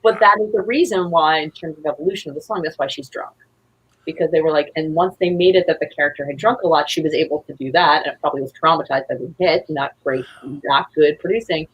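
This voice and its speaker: Woman guest w/ deep kinda raspy voice, gushing and wandering thru a casual chat. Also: fast, highs cut off. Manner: effusive, rambling, acts out quotes. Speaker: guest, playwright.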